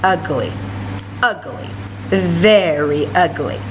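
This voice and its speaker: A woman puts on sneering storybook schoolkids. The voice drops low and drags each word out deliberately, with faint noise behind it. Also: lively intonation. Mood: scornful. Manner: lowered, deliberate, drawn-out. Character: mocking, disdainful schoolchildren teasing a classmate.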